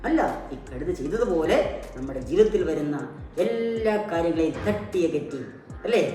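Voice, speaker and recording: A woman with a low voice tells a children's story like a teacher, prompting with questions and repeating key words. The recording is very echoey and distant from the mic, with music behind her. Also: lively intonation, normal speed, mellow. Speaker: puppet show host, storyteller.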